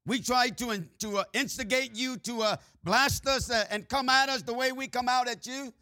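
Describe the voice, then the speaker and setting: High, bright-voiced Mexican-Texan preacher. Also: male voice, moderate pace, no room sound, studio quality. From Mexico and Texas. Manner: frequent "uh" and "amen" fillers, swings between loud preaching and quiet asides. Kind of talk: sermon.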